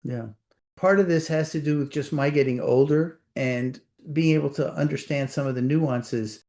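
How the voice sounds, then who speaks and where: An older author with a Puerto Rican accent, answering interview questions in a thoughtful, measured way. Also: male voice, fairly high pitch, darkish tone. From Puerto Rico. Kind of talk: reflective conversation.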